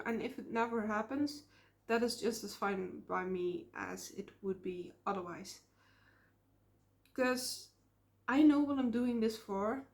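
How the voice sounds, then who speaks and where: A young woman with a Dutch accent, talking to camera in a casual vlog, earnest and reflective, often pausing on fillers. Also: fairly high pitch. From Netherlands. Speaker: small YouTube creator.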